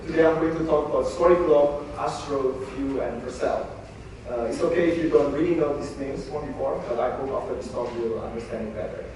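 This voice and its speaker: A man's voice: medium pitch, darkish tone, a little hiss or hum. A young man from Indonesia giving a meetup talk as a front-end engineer, explaining things in a friendly, plain way with frequent ums and uhs. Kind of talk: conference presentation. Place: large room at a company meetup. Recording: echoey hall, mic far off.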